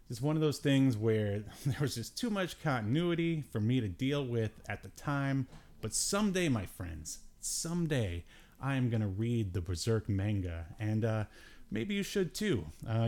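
A man's voice: lowish voice, a little mellow. A man hosting a podcast solo in a casual, jokey, self-deprecating way, with hesitant asides. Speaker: podcast host. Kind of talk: casual solo show commentary.

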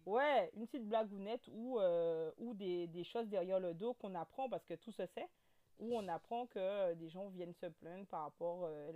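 A woman in her thirties speaking French with a Guadeloupean accent, guesting in a relaxed interview. She tells her story in an animated way, stumbling through fillers and restarts as she goes. Her voice sits at a middling pitch. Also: moderate pace, phone-quality sound. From French overseas territory. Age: 30s. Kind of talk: casual interview chat.

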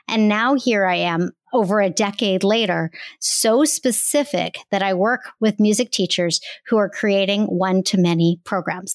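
A woman's voice: mid-range voice, dry recording. A woman guest on a podcast, talking enthusiastically about coaching music teachers. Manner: enthusiastic, explanatory. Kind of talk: casual interview conversation.

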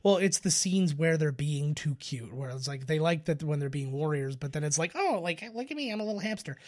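A man's voice: a little high, animated, fairly quick, a little mellow. A man chatting casually as a podcast co-host, explaining movie trivia in loose, sweary talk. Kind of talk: casual movie commentary chat.

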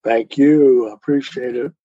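A mellow, husky-voiced man answers as a guest in a conversational interview. The sound is dry and a little muffled and lo-fi. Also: mid-range voice, steady tone, moderate pace. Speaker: podcast guest, author and poet.

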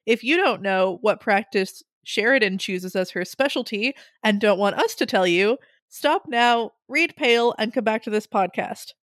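A woman's voice: on the high side, lively intonation, normal speed, a bit bright, dry recording. A young woman co-hosting a casual podcast, easygoing and wry, tossing in dry asides. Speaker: podcast co-host. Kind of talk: casual chat.

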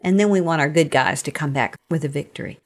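A woman's voice: deep voice, fast. A woman giving a conversational, faith-based teaching talk as a podcast host, earnest and emphatic, often posing rhetorical questions.

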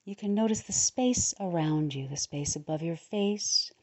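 A woman leading a guided relaxation meditation in a soothing, unhurried manner, pausing between short phrases. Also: on the low side, dull audio. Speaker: guided meditation leader, podcast host.

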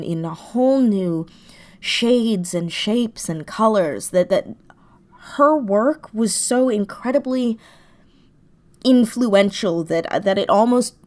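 Female interview guest, medium pitch, casual and gushing delivery with emphatic repetition. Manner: effusive, emphatic, repeating words for emphasis. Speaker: interview guest, audio drama writer. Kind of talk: enthusiastic casual interview chat.

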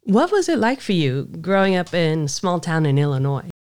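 A woman host asks questions and keeps her guest going with short, encouraging remarks. Her voice is strikingly smooth and clean, recorded dry and close. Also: fairly low pitch, sing-song, moderate pace, a little mellow, no room sound. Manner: encouraging, short affirming interjections. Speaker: podcast host. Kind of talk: interviewer with brief backchannels.

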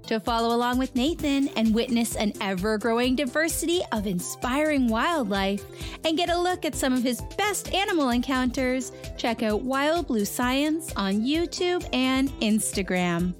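A woman reading a scripted host's outro for a children's podcast. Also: high voice.

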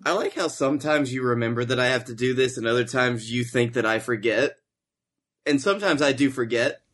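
A man's voice: mid-range voice, fairly quick, a bit bright. A man co-hosting a podcast in casual, comedic conversation. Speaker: podcast co-host.

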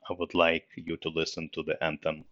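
A middle-aged man from Russia with a Russian accent, hosting a solo podcast episode, speaking haltingly with many fillers in a subdued way. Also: very deep voice, completely flat delivery, no echo, highs cut off. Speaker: podcast host.

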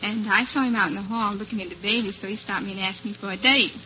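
A woman's voice: medium pitch, fast talker, bright, forceful tone, highs cut off. A woman from the United States chatting as a contestant on an old radio quiz show, polite and good-humoured. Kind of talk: chatty storytelling in a radio interview. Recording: slightly noisy, band-limited old radio broadcast.

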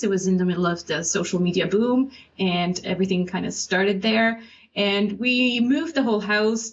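A woman's voice: medium pitch, dull audio. A Swiss woman in her forties with a North American English accent, chatting in a friendly way as an interview guest. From Switzerland. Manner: friendly, chatty, laughs easily. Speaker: guest expert. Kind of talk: interview answers.

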